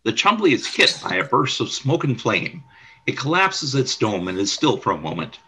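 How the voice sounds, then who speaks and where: A man's voice: medium pitch, big pitch swings, normal speed, sharp tone, breathy edge. A man reading scripted scene narration for a radio play.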